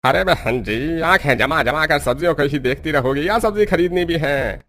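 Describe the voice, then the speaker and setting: A man's voice: on the high side, lively intonation, moderate pace, fairly bright tone, slight background noise. A man playing a rude, grumpy street trader and moneylender in a cartoon story, with a brusque, scolding manner. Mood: gruff, haggling. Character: grumpy, rude male vegetable seller and bullying moneylender. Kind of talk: Hindi cartoon story acting. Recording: music behind the voice.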